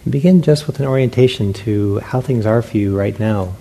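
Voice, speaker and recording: Male meditation teacher, dark relaxed tone with gravelly fry, speaking gently in spaced-out phrases. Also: fairly low pitch. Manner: unhurried, gentle guidance with long pauses. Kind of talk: guided meditation instruction to a group. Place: meditation hall with a group. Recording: faint background noise.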